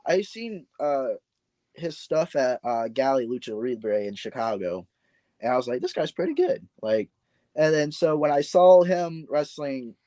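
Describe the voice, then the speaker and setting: A man's voice: medium pitch, sing-song, unhurried, dark tone, dry recording. A man chatting casually as a podcast guest, laid-back and rambling, often restarting his sentences. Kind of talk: casual chat.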